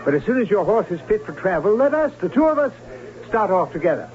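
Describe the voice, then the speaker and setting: High-voiced, florid young nobleman. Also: sing-song, fast talker. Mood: eager, lovestruck. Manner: florid, theatrical. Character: exuberant young German nobleman, boastful romantic bridegroom-to-be. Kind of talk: old-time radio drama acting. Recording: muffled, very noisy old radio broadcast.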